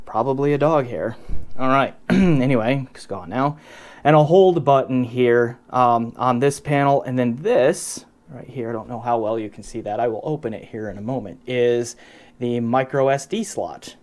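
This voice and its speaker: A man's voice: mid-range voice, normal speed, darkish tone. A man hosting an audio gear review in a casual, conversational, loosely explanatory way. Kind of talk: casual product review to camera.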